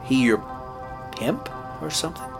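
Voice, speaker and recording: A man's voice: medium pitch, expressive, measured pace, creaky, over music. A man playing an earnest, stubborn young rookie radio DJ in an audio horror drama, sometimes hesitant and pleading. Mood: pleading, probing. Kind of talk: dramatic audio horror acting, naturalistic dialogue.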